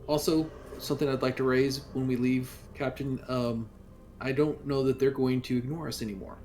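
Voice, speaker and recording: A man playing a tabletop roleplaying game, speaking in a calm, dry, cautioning way. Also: mid-range voice, normal speed.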